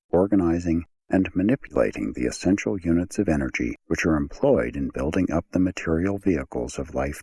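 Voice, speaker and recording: A man reading an audiobook as a scripted narration, in a measured, formal manner. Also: low voice, darkish tone.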